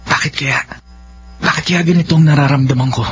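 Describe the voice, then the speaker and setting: Lalaking gumaganap na magalang na binata, kulob ang tunog. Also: male voice, fairly high pitch, fairly even intonation, a little fast, a bit bright, lightly acted. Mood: pensive, longing. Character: polite, lovestruck young man, dutiful son. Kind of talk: Filipino radio drama acting. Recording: dull lo-fi with faint noise.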